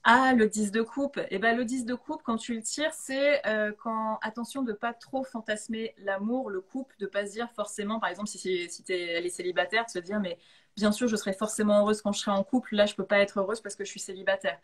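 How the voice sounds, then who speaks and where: A woman's voice: medium pitch, fairly even intonation, brisk. A woman in a casual live chat, explaining tarot readings in an informal, enthusiastic way. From of Italian descent. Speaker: guest tarot reader and author.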